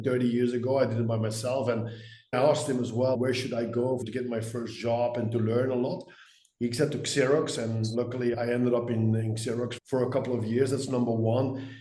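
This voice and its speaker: Echoey Belgian-accented man, even and mellow. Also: mid-range voice, fairly quick. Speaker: guest, sales executive. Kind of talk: interview answers, career storytelling. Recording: roomy, echoey sound.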